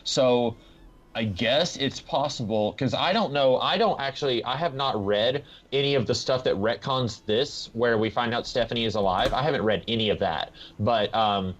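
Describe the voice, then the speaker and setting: A man co-hosting a casual comic book discussion, reasoning things through in a measured, analytical way. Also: mid-range voice. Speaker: podcast co-host. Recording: slightly dull, lo-fi audio.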